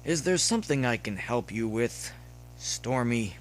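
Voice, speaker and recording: A man's voice: medium pitch, expressive, unhurried, a little hiss or hum, close to an everyday voice, poor audio. Man voicing a terse, cynical drunk student pony. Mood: guarded, sarcastic. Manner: terse, guarded, sarcastic.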